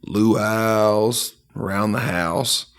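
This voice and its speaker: A man's voice: lowish voice, steady tone, drawn-out words, clean tone. A man with a stuffy nose from allergies, co-hosting a casual podcast with dry, laid-back humor. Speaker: podcast co-host. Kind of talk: casual chat.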